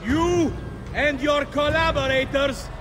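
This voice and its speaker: Stern Renaissance judge, high voice, formal and slow, music behind, for a game. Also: male voice, fairly even intonation. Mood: cold, pompous. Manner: formal, declamatory. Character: stern, treacherous magistrate passing judgment in Renaissance Italy. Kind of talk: dramatic video game acting.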